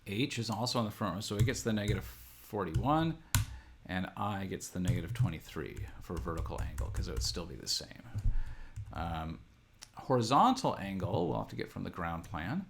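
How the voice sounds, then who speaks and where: A middle-aged man speaks in a fairly low voice with plenty of vocal fry. He is an instructor working through a demonstration at his computer, thinking aloud methodically and haltingly, one step at a time. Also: expressive, a little hiss or hum. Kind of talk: unscripted screen-share tutorial, thinking aloud. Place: indoor room at a desk, computer screen recording.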